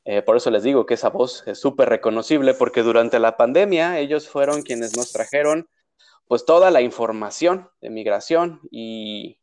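Male podcast host, young adult, Mexico City accent, middle pitch, chatty and loose with fillers. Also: normal speed, a little mellow, clean tone. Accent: Mexico City Spanish. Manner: chatty, with many fillers and restarts. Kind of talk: casual interview.

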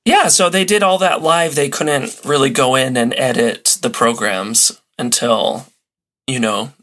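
A man talks as a guest on a podcast in a medium-pitched voice. His delivery is casual and animated, and he readily slips into little acted-out bits as he explains things. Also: measured pace. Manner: animated, acts out little quotes and sound effects. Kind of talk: casual chat.

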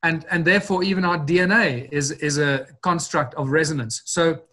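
A man's voice: on the high side, steady tone, moderate pace, sharp tone. A man guest in an interview, speaking earnestly and expansively, often restarting and repeating words. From Southern Africa. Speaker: interview guest, researcher of ancient ruins. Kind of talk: long-form podcast interview.

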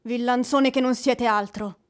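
An actress playing a proud, fiery widow in an Italian radio drama, speaking in a haughty, theatrical, indignant way. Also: female voice, fairly high pitch, steady tone, a little slow, natural voice. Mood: furious.

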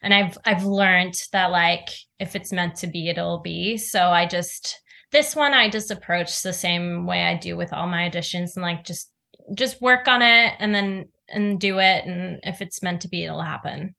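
A bright, clear-toned woman in her thirties, from Norway, talking as an interview guest. She chats loosely and animatedly, peppering her story with "like" and easy laughter. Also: on the low side, unhurried. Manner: chatty, full of "like", laughs easily. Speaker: actor guest. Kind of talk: casual interview chat.

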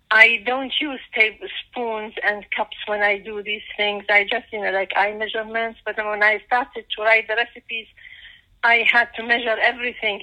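Older woman from Iraq, interview guest over a phone line; bright, halting delivery. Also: medium pitch. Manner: hesitant, many fillers and restarts. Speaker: guest, cookbook author. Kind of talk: casual interview talk.

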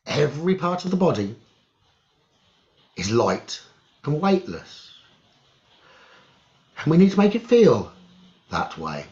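Man, guided meditation, unhurried pace, widely varying pitch, short phrases, long pauses, muffled audio. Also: big pitch swings, darkish tone.

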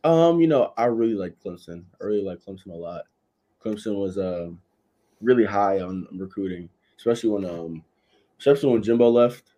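A man's voice: fairly low pitch, animated, fairly quick, warm tone, lo-fi sound. A 21-year-old man from Florida, a college football player, chatting casually as a podcast guest, with a laid-back, filler-heavy way of talking.

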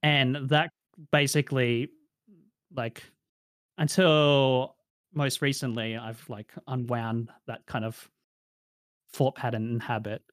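A man's voice: mid-range voice, steady tone, slow pace, long pauses, fairly bright tone, no room sound. A young man with an Australian accent, answering interview questions in a casual, reflective way with many fillers.

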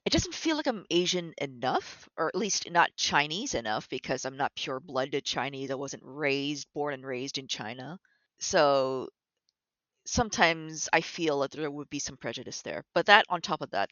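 A woman guest in a conversational interview, talking in an animated way with many restarts. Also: very deep voice, normal speed, dry recording, highs cut off. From Canada. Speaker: concept artist, guest.

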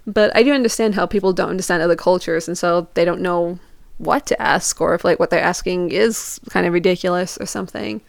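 A woman's voice: on the low side, fairly quick, creaky, no room sound. A young woman telling personal stories in a casual, chatty way, with informal phrasing and quoted bits of conversation. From Portugal and England. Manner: informal, peppered with "like", acts out quoted questions.

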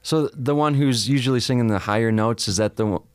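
A man's voice: medium pitch, brisk, clear, resonant tone, no echo. A man hosting a local interview show, asking the band curious, encouraging questions. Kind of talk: casual interview.

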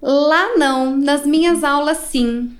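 A Brazilian woman, an English teacher, telling travel stories in a casual podcast chat, animated and acting out quoted voices. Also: high-pitched, slow. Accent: Brazilian Portuguese. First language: Portuguese.

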